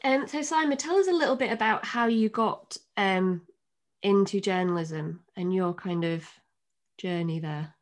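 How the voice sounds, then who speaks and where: A woman's voice: mid-range voice, unhurried. A woman from England hosting an interview, asking questions in a hesitant, filler-heavy way. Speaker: interviewer, host.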